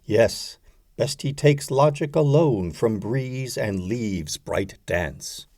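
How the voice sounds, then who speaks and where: A man narrating a poetry audiobook, reciting scripted verse in a measured, deliberate way. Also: mid-range voice, animated, a little mellow, no room sound, clean studio sound.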